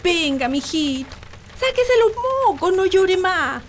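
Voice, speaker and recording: A woman's voice: very high voice, very animated, takes it slowly, slight background noise, full character voice. An actress playing a bossy, nagging rural wife and doting mother in a comic radio drama, with a Chilean accent. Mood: soothing, motherly. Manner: scolding, exaggerated, colloquial.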